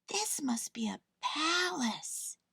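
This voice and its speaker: A woman voicing a small, innocent male toy bear for a children's storybook, wistful and full of gentle wonder. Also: high-pitched, very animated, slow pace, gravelly, no echo, pitched above the performer's own voice, exaggerated voice. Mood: awed wonder. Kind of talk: children's storybook read-aloud.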